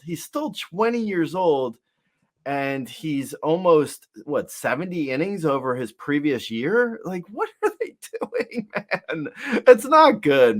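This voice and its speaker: A man hosting a sports podcast, chatting casually about baseball in a halting, wryly joking way with repeated words. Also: on the high side, fairly bright tone.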